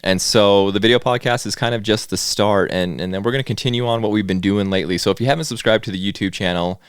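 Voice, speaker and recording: Casual man podcasting, low smooth voice. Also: fast talker, dry recording, studio quality. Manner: informal, conversational, addressing listeners directly. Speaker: podcast host. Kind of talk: casual solo podcast talk to listeners.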